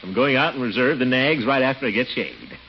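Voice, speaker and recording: A man's voice: mid-range voice, big pitch swings, brisk, creaky. A man acting a pompous, blustering, vain middle-aged man in a 1940s radio comedy, exclaiming and easily flustered. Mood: indignant, blustering. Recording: noisy, dull 1940s radio recording.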